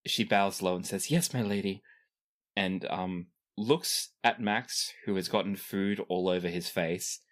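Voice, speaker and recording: A game master improvising casual, descriptive narration for a tabletop game, pausing for effect. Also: sits low. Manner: animated, descriptive, pauses for effect.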